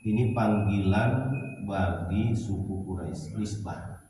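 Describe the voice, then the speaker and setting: A man with a Betawi accent leads an informal religious study lesson. He speaks at a slow pace, recites short phrases and then explains them, often checking that listeners follow. The sound is heavily echoey and picked up at a distance from the microphone. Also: sits low. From Jakarta, Indonesia. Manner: didactic, repeating and glossing phrase by phrase, checking with listeners. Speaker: Islamic religious teacher. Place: small prayer hall during dawn study session. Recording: very echoey hall, distant mic, some noise.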